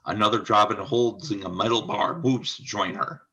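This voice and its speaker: A man's voice: lowish voice, steady tone, moderate pace, sharp tone, husky, muffled recording. A man narrating a scripted radio drama, reading out the scene descriptions.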